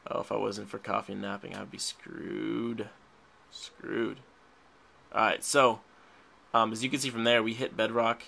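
A man's voice: on the low side, creaky, bright, forceful tone, slight background noise. A young man chatting casually while playing a mobile game, rambling and laughing a lot, a little sick. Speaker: gaming channel host. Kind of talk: casual let's play commentary. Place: indoors at a desk, filming a tablet.

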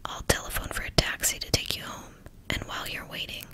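A woman voicing a gruff, commanding man who stays in control, all in a whisper. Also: a little fast, pushed and bright, natural voice. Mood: grave.

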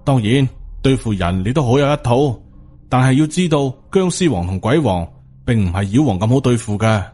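A man's voice: mid-range voice, expressive, a little fast, a little mellow, breathy edge, soft background music. A man with a Hong Kong Cantonese accent voicing a grim, short-tempered, protective undead Taoist master in an audio storybook, speaking with stern emphasis. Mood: stern warning.

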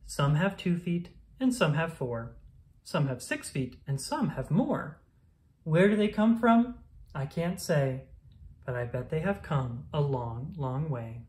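A woman with a low-pitched voice reads a rhyming picture book aloud. Her delivery is singsong and expressive, moving animatedly up and down as a storyteller for children. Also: slow pace.

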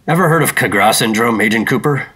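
A man's voice: mid-range voice, expressive, fast, pushed and bright, slightly rough. An American man acting a terse, stubborn 1950s secret operative in a radio drama, with a dry, matter-of-fact delivery. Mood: firm, insistent.

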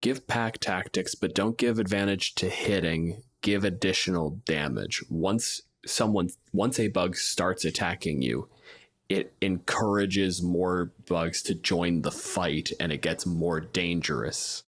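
A man's voice: sits low, a little slow, husky. A man hosting a podcast, casually discussing and improvising scenes as a game master.

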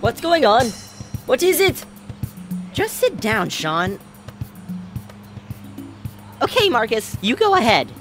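An easygoing cartoon boy rallies his football team. The voice is fairly high and swings widely in pitch, over clear background music. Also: wildly swooping pitch, takes it slowly, pauses often, heavily acted. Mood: rallying. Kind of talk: animated cartoon dialogue.

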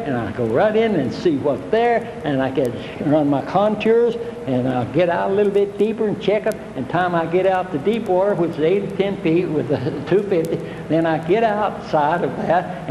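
A man's voice: fairly high pitch, darkish tone, roomy sound, big-room sound, slight background noise, low-quality recording. An older man, giving a plainspoken, homespun fishing talk to an audience, repeating his points. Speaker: fishing instructor. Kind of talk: folksy seminar talk.

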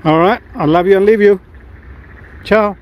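A man's voice: high voice, moderate pace, dull audio. A man chatting informally as he narrates a casual vlog outdoors on a farm. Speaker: farm vlogger. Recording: loud engine and outdoor ambient noise.

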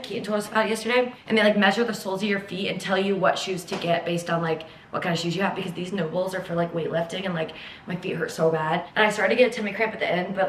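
A young woman with a twang talks to her camera while she moves around her kitchen and balcony. Her voice sits a touch low, and she chats in an upbeat way, full of fillers. A steady hiss of background noise runs under the recording. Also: echoey room. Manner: chatty, upbeat, heavy use of "like" and "y'all". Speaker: vlogger. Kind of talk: casual vlog chat to camera. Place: home kitchen and apartment balcony.

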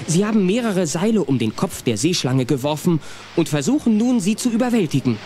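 A man narrating a scripted children's audio play as an animated storyteller. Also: sits high, brisk, a little hiss or hum. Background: music.

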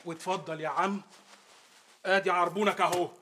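A man's voice: high voice, fairly even intonation, a little fast, bright, forceful tone, husky, exaggerated voice. A man playing a cocky, blustering street dealer with an Egyptian accent. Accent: Egyptian Arabic. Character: brash male street dealer, boastful.

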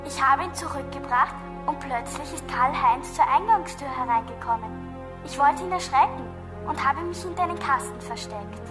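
An actress playing an excitable, curious young girl detective in a German children's radio play, telling things earnestly. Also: high-pitched, normal speed, over music, lightly acted. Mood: agitated. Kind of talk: German children's audio drama acting.

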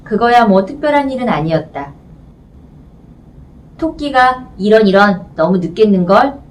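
A woman's voice: medium pitch, warm tone. Female audiobook narrator for children's fiction, mid-range voice, faint noise behind. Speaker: audiobook reader. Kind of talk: scripted storybook narration. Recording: distant mic, faint background noise.

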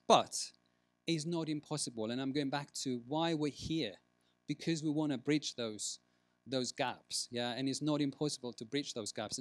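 A man presenting at a conference, stressing key words deliberately and posing rhetorical questions. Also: fairly high pitch, no echo. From Greece. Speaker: researcher giving a conference talk. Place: large conference room, at a lectern.

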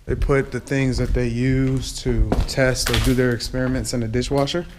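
A man walking through an abandoned school with an action camera, talking to himself in low asides and getting excited at what he finds. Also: normal speed, very noisy. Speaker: urban explorer vlogger. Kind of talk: casual walk-through narration. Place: abandoned school building, large indoor halls. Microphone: head or chest-mounted action camera.

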